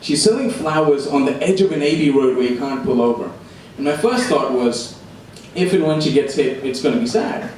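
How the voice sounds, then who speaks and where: A man's voice: medium pitch, expressive, normal speed, hardly any pauses, a little mellow. Young male stand-up, very echoey room. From immigrated to Australia at age eleven. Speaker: stand-up comedian. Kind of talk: live stand-up comedy. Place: indoor comedy room before a live audience. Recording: echoey, noisy room recorded from far off.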